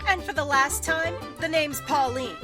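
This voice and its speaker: A woman's voice: high-pitched, normal speed, natural voice. A woman voicing a fierce, short-tempered woman in a fan cartoon dub, combative and taunting. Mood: threatening. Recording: loud music over the voice. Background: loud music.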